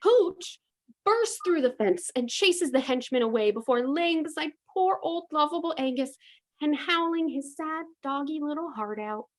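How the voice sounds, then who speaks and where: A woman narrating a comedy radio play in a matter-of-fact, deadpan manner. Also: high voice, moderate pace.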